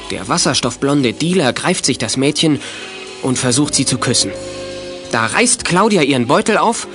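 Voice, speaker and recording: A man narrating a youth radio play in scripted, dramatic storytelling style. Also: medium pitch.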